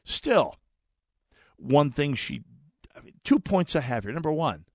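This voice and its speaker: A man's voice: a little high, big pitch swings, measured pace, dry recording, clean studio sound. An American man, middle-aged, hosting a talk radio show in a chatty, digressive monologue with frequent restarts. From United States. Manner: chatty, digressive, repeats words when restarting.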